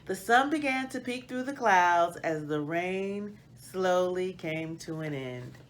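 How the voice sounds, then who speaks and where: A middle-aged woman hosting a children's read-aloud, reading storybook style with deliberate, expressive phrasing. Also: lowish voice, slight background noise. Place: small plain indoor room.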